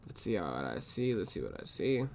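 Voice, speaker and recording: A man's voice: sits low, sing-song, moderate pace, creaky, a little mellow, slight background noise. Sniffly man muttering over his trades. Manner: thinks aloud, profane, mutters to himself. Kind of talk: casual screen-recorded trade recap.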